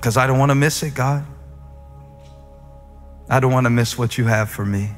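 A man's voice: medium pitch, moderate pace. Man preaching in a reverberant hall. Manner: rhythmic repetition, builds to emphatic peaks. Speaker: pastor. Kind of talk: sermon and closing prayer. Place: large church auditorium. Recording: long reverb of a large hall, soft music underneath. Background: faint music.

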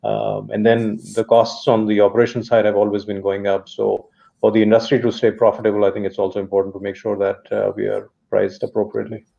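Executive man, low dark gravelly voice, flat steady delivery, lo-fi call audio. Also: fairly quick. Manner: steady, thoughtful, many uh fillers. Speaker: company executive guest. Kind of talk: business interview talk. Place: home study. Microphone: video call. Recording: dull lo-fi video call audio.

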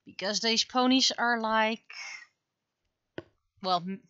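Middle-aged female streamer, native Dutch speaker. Bright tone, rambling casual chat, slightly dull recording. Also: on the high side, slow pace, clean tone, no room sound. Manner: rambling, thinking aloud. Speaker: streamer and webcomic artist. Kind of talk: casual livestream chat while drawing. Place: indoors at a computer.

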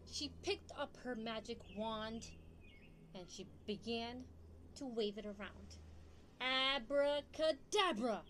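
A young woman reading a picture book aloud to young children in an expressive, questioning storytime manner. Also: on the high side, slight background noise. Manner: expressive, asks listeners questions. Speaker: storytime reader.

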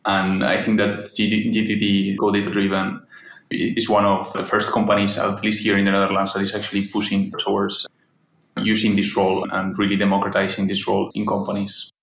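Man, low monotone guest voice, echoey dull remote line. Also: fairly quick, creaky. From Spain. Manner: thinking aloud, with fillers. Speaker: analytics engineer guest. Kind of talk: casual interview answers. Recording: roomy, dull remote audio.

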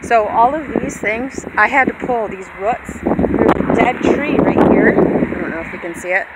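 A woman in her thirties chatting informally and self-deprecatingly to viewers, recorded on a handheld phone outdoors. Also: normal speed. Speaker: vlogger, hobby farmer. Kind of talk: casual rambling vlog chat to viewers. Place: outdoors in an open grassy yard. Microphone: handheld phone at arm's length. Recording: windy outdoor phone recording, vehicle-like rumble. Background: wind and outdoor noise.

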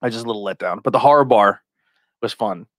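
A man's voice: on the low side, quick pace, a bit bright, no echo, clean studio sound. American man rambling through casual stories. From Pennsylvania, US. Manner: profane, rambling storytelling. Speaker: podcast host. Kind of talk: casual chat, anecdotes. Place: small curtained podcast room. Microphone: podcast microphone, headphones.

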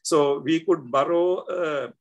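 An older man with a Sri Lankan accent, speaking as an interview guest over a video call, halting with many fillers and restarts. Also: a little high, highs cut off. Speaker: guest scholar. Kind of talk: reflective interview talk. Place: indoor home room.

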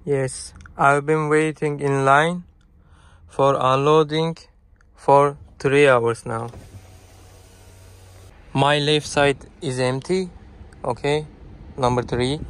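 A man, a truck driver, narrating his wait at a loading dock in short, simple, halting English from his cab. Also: a little high, steady tone, a bit bright, smooth. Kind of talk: casual vlog narration.

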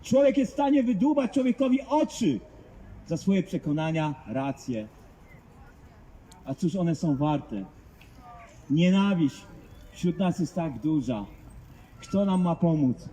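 A man's voice: fairly high pitch, expressive, takes it slowly, pauses often, warm tone, slight background noise, poor audio. A man preaching outdoors to passers-by, fervent and emphatic, driving points home with rhetorical questions. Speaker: street preacher. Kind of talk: open-air evangelistic preaching. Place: outdoors in a public street.

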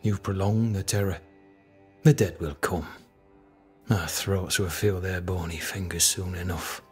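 Slow, low-voiced, despairing fort captain. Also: male voice, fairly even intonation, breathy edge, faint music behind, exaggerated voice. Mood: bitter fatalism. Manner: slow, grim, doom-laden formal speech. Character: despairing, fatalistic male fort captain, bitter and grandiose. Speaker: military officer character in a video game. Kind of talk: dramatic video game acting.

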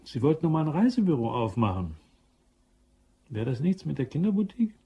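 A male boss in a radio crime play. His voice is a bit raspy with animated intonation. He is brisk, businesslike and used to giving orders. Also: fairly high pitch, muffled recording, exaggerated voice.

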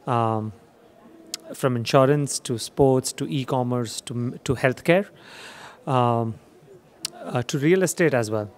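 Young man giving a hesitant sales pitch. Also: slow, pauses often. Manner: hesitant, many fillers. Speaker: company presenter. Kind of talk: trade show sales pitch. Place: dark expo demo theater.

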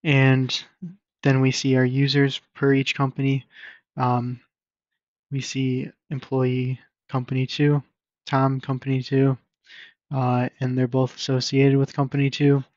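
A man presenting a casual screen-share software demo in an informal, explanatory and somewhat hesitant way. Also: mid-range voice, completely flat delivery, measured pace, no room sound. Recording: dull lo-fi microphone recording.

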